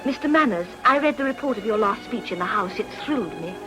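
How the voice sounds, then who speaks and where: An actress playing a poised, high-minded young society lady with an upper-class English accent in a period radio drama. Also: animated, quick pace, over music, lightly acted. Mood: fervent. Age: young adult. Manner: poised, articulate, fervent.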